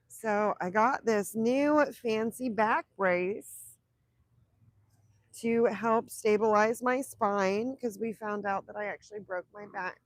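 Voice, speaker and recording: A young woman with a raspy voice from illness, chatting casually on a livestream, rambling and laughing between thoughts. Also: mid-range voice, dry recording. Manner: rambling, self-interrupting, laughs often. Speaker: livestream host. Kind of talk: casual live chat with viewers.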